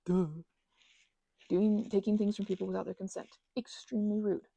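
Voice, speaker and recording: A woman's voice: mid-range voice, flat delivery, normal speed, vocal fry, mellow, dry recording. A woman chatting informally to her listeners in a rambling, meandering way, drifting into asides and offering casual encouragement. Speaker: ASMR and audio channel creator.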